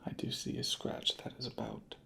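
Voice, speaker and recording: A soft-spoken ASMR creator murmuring methodically in a breathy, whispery voice, unhurried and close to the microphone. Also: a little hiss or hum. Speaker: ASMR creator playing a record inspector.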